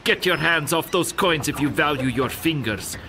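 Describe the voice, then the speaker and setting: An actor playing a crude, leering pirate ringleader in an audio drama, threatening and coarse. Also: male voice, a little high, expressive, normal speed, pushed and bright, slight background noise. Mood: menacing. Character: crude, menacing male river pirate ringleader. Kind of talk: full-cast audio drama acting. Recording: heavy background ambience under voice.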